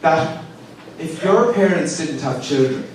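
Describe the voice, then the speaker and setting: Young man, Irish accent, stand-up comedian, deadpan one-liners, slightly high voice, distant mic, very echoey room. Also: measured pace. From Ireland. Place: live comedy gig with an audience laughing. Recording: distant, roomy live recording with audience noise.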